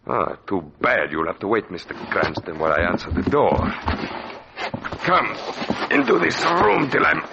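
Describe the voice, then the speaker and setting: An actor playing a mad, domineering puppeteer murderer in 1940s radio thriller style, gloating theatrically and prone to rage. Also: male voice, lowish voice, big pitch swings, normal speed, creaky. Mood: gloating menace. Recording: noisy, dull vintage radio recording.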